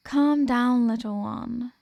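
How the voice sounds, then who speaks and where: Elder vampire woman , voiced by an actress: aristocratic, possessive, teasing. Unhurried delivery, close dry sound, ASMR roleplay. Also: fairly high pitch, creaky, a little mellow, dry recording, exaggerated voice. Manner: haughty, teasing, formal and deliberate diction. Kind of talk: ASMR roleplay audio drama.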